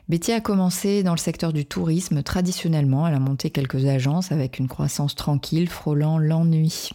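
A woman's voice: low-pitched, mellow. A woman hosting a podcast as a career coach, reading an upbeat, emphatic scripted introduction and then greeting her guest.

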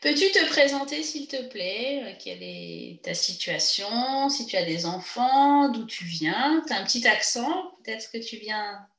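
A woman's voice: on the high side, animated, a little slow, vocal fry, hardly any pauses, a bit bright. A woman hosting a podcast, asking friendly, slightly hesitant questions. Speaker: podcast host. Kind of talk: conversational interview questions. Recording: roomy echo.

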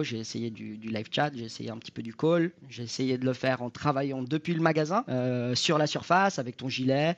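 A man in his thirties from Switzerland, answering interview questions in a hesitant way with many fillers and restarts. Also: mid-range voice. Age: 30s. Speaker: guest, e-commerce project leader. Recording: dull lo-fi sound.

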